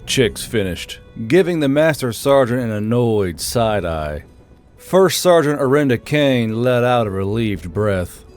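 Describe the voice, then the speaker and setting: A man's voice: mid-range voice, sing-song, a little slow, a little mellow. Male narrator, mid-range voice, scripted story read over a faint music bed. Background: background music.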